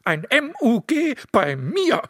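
A pompous, indignant professor in a children's radio play. His voice is raspy and pitched high, leaping up and down as he splutters with haughty spite. The sound is close and dry. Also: huge pitch swings, slow, a bit bright, no echo, exaggerated voice. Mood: indignant, sputtering. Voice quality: husky, rasping. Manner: indignant, haughty, swooping outbursts.

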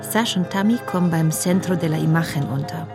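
A woman's voice: low voice, a little fast. A woman playing a nineteen-year-old from a wealthy family, narrating a radio drama with a reflective, wry manner. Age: young adult. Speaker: narrator and main character. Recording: music underneath.

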